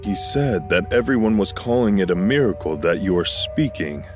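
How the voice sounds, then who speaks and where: A man's voice: low voice, animated, moderate pace, faint music behind. A man playing a stern but protective father of a teenage girl in an acted story. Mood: grave.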